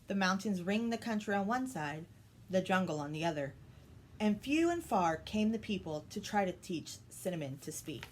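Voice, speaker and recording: A woman's voice: medium pitch, very animated, normal speed. Woman reading a storybook aloud, some noise. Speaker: storytime host reading a children's book.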